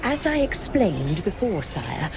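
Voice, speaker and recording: A woman's voice: medium pitch, sing-song, takes it slowly, low-quality recording. An actress playing a haughty, exacting villainous lady who serves a lord, precise and theatrical, in a video game cinematic. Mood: haughty, patient. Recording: music behind the voice.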